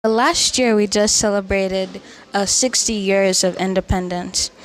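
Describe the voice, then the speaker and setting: A woman who narrates in a measured, scripted way and asks interview questions. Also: medium pitch, fairly even intonation, poor audio.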